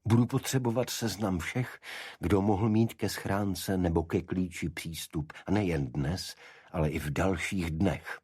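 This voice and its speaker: A man voicing an analytical, confident Victorian detective who makes precise, deliberate deductions in an audiobook. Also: deep voice, darkish tone, husky, dry recording, clean studio sound. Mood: businesslike.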